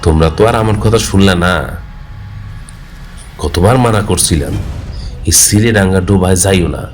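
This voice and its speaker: A man's voice: sits low, moderate pace, faint music behind, close to an everyday voice. A man voicing a cautious, reproachful old villager in a cartoon, world-weary and admonishing, with a rural Bangladeshi Bengali accent. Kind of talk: cartoon character dialogue.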